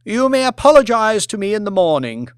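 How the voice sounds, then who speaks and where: A man's voice: high voice, big pitch swings, brisk, smooth, no echo, studio quality, pitched above the performer's own voice. A man voicing an imperious, icy aristocratic lady in an audiobook, with haughty, clipped commands. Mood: menacing. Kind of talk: audiobook character acting.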